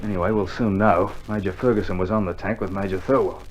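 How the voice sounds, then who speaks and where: An actor playing a cheeky, excitable Australian soldier with a wry, slangy way of joking, in 1960s radio drama style. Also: male voice, deep voice, fast, close to an everyday voice. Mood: alert. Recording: muffled old vinyl, background noise.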